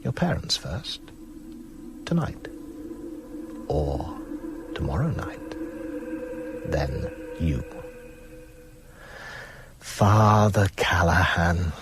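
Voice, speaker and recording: A man's voice: medium pitch, animated, music in the background. An actor playing an ancient, cunning male vampire in a radio horror drama, suave, gloating and mockingly courteous. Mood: vengeful, gloating. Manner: theatrical, slow, mockingly courteous.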